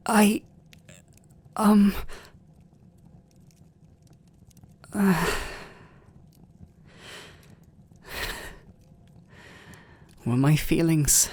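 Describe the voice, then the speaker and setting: Man voicing vampire lord, British accent, very slow, husky and breathy, tender, conflicted, formal, intimate ASMR roleplay. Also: fairly high pitch, darkish tone. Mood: anxious, tender. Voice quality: breathy, often near a whisper. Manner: slow, halting, formal aristocratic phrasing.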